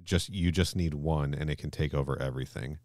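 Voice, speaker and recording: Male podcast co-host, extremely deep voice, loose and emphatic conversational delivery, very little room sound. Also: brisk, a little mellow, dry recording, studio quality. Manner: emphatic, casually sweary. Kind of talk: casual discussion and film plot recap.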